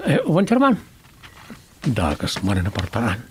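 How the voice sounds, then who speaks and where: Easygoing rural villager played by a male actor, lively pitch, conversational radio drama, faint noise behind. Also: slow, full character voice. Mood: respectful.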